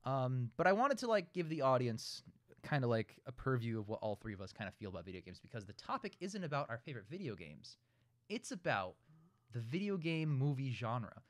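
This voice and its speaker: A man's voice: medium pitch, sing-song, brisk, dry recording, studio quality. A man in his twenties hosting a casual group discussion, explaining his points at wordy, rambling length. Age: 20s. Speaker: host of a film discussion show.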